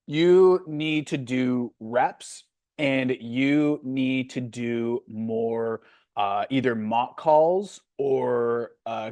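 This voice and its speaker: A man's voice: medium pitch, a little mellow, no room sound. A man with a Canadian accent, a sales coach hosting a community Q&A call, talking bluntly and frankly, with plenty of fillers.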